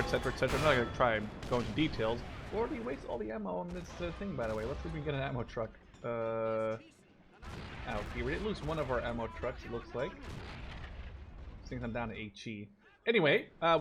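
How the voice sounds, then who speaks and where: A man in his twenties from the Netherlands, a native Dutch speaker, giving casual, rambling and informal commentary over gameplay. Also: medium pitch. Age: 20s. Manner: rambling, digressive, informal with mild swearing. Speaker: gaming video creator.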